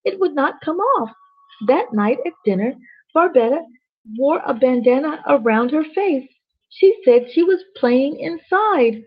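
Woman, bedtime storyteller, somewhat high voice, husky, expressive, exclamatory, muffled lo-fi recording. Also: a little slow. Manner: theatrical, exclamatory, chatty asides to children. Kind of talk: expressive children's story reading with asides to listeners. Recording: dull, lo-fi sound.

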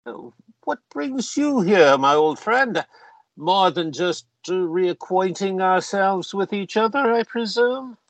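A man acts out a self-satisfied gentleman of Parliament for a radio drama. His voice sits high for a man and he takes his time over old-fashioned, pompous phrases. The sound is close and dry. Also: expressive, slow pace, no room sound, heavily acted. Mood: jovial, hearty. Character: genteel, self-satisfied male member of Parliament, old university friend. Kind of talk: radio play acting.

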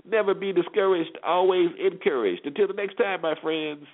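A man hosting a sports show, giving conversational commentary and recaps with pauses and repeated words. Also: sits high. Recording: tinny narrow-band phone-like sound.

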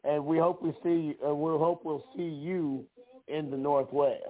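A raspy-voiced man hosting a podcast, talking in a laid-back, slangy way. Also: on the high side, fairly even intonation, moderate pace. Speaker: podcast host. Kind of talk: casual chat. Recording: tinny phone line.

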